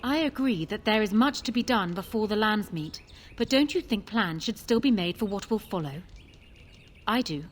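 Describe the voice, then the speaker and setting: An actress playing a proud, ambitious queen in a fantasy video game, speaking formally with calm, measured persuasion. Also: medium pitch, slight background noise, lightly acted. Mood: persuasive. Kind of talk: fantasy game dialogue acting.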